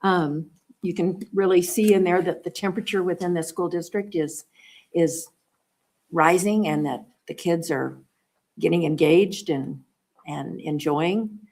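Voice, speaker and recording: A woman's voice: lowish voice, a little slow, a little mellow. A woman serving as school board president, giving encouraging thanks at a board meeting. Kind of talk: formal remarks at a public meeting.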